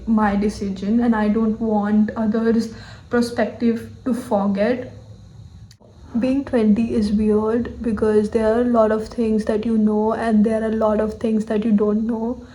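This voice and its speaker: A woman's voice: on the high side, steady tone, measured pace, dark tone, reverberant, rough recording. A woman in her twenties with an Indian English accent, thinking aloud candidly in a personal video journal, often saying "like" and "you know". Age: 20s. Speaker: vlogger.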